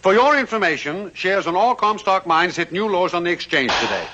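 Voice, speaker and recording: A fretful associate pleads in a high, swooping voice. The sound is muffled with a clear hiss. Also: male voice, expressive, a little fast. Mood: worried. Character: anxious, fretful male business associate.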